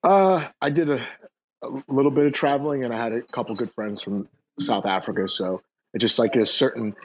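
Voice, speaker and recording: A man's voice: medium pitch, a little fast. A man speaking as a guest in a casual online audio chat, often repeating and stumbling over his words. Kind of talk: casual Twitter space chat. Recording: dull, dry lo-fi mic.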